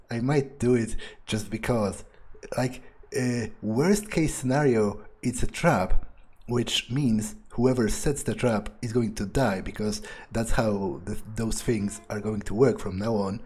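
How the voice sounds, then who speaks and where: A man's voice: lowish voice, darkish tone, breathy edge. A man improvising an in-character monologue for a game playthrough, musing hesitantly and sardonically, with some swearing. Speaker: gameplay video player speaking in character.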